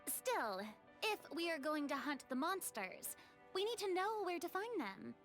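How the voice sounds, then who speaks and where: An actress playing a spirited young woman in a game, cheeky, self-assured and theatrically expressive. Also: very high voice, measured pace, faint music behind, heavily acted. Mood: confident. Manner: expressive, grandiose.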